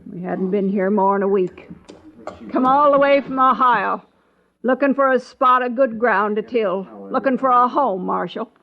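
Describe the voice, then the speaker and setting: A woman's voice: fairly high pitch, moderate pace, close to an everyday voice. Bitter old pioneer mother, played by a woman. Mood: mournful. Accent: rural American. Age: older. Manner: plaintive, scornful, folksy. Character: bitter, tough older widowed pioneer mother. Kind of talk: old-time radio western acting. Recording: muffled old radio recording.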